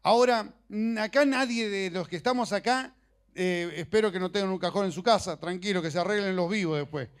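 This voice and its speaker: A middle-aged man, a native Portuguese speaker, preaching in Spanish in a conversational, halting way with personal stories and joking asides. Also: high-pitched, clean tone. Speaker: preacher and missionary. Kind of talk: informal sermon with personal stories.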